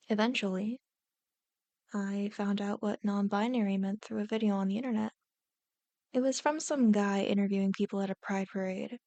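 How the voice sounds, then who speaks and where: A woman's voice: medium pitch, dark tone, no room sound, close to an everyday voice. Woman playing playful non-binary friend, dry close sound. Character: warm, jokey non-binary young adult friend. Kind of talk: ASMR roleplay.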